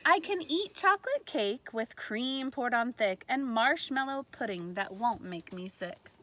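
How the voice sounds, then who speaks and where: A woman teacher reading a storybook aloud to kindergartners in an animated way, pausing to put questions to her listeners. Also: on the high side. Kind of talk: read-aloud lesson for young children. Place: outdoors in a backyard tree fort among trees. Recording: faint outdoor ambient noise.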